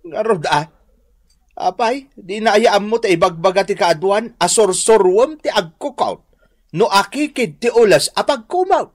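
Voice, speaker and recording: A man playing an older, disapproving father in an Ilocano radio comedy drama, speaking in a measured way with an Ilocano accent. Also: high-pitched, lively intonation. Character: older father, grumbling about his spendthrift daughter.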